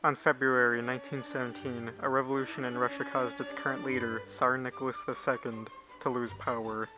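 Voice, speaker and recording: A man's voice: mid-range voice, little pitch movement, fairly quick, music in the background, rough recording. A man reading scripted explainer narration for a puppet show.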